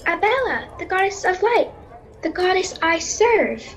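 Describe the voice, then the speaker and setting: A principled young heroine of a fantasy radio serial, acted by a girl, speaks in a high voice. She is earnest and devout, a young servant of a goddess. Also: sing-song, a little slow, a bit bright, a little hiss or hum, full character voice. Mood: reverent. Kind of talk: radio-serial adventure acting.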